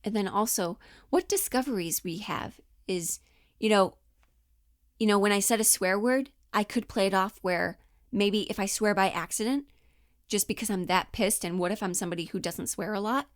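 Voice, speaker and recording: Brief: female acting coach, twenties, informal and animated, mid-pitched voice, dry recording. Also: normal speed, dry recording. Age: 20s. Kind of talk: casual instructional talk.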